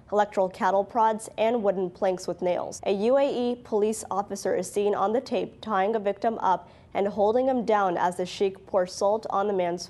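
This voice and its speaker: A woman presenting the news, reading scripted reports in a formal, clearly worded delivery. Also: medium pitch, darkish tone.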